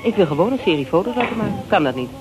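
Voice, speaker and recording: An actress playing a firm, direct young woman in a Dutch radio drama, speaking in a brisk, assertive way. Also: medium pitch, very animated, heavy background noise, lightly acted. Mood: businesslike.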